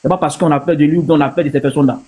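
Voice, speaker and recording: Fast-talking Ivorian man, higher-pitched voice. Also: steady tone, dark tone, a little hiss or hum. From Ivory Coast. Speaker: panel guest on a live stream. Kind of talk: casual opinion talk.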